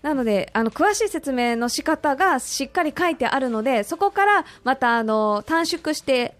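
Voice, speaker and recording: A woman's voice: sits high, expressive, moderate pace. A woman speaking politely and agreeably in a radio conversation, summing up points as a co-host.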